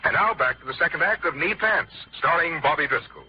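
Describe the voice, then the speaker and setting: A man's voice: fairly high pitch, animated, fairly quick, very noisy. A man announcing in the style of an old-time radio show host. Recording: dull, lo-fi old radio recording.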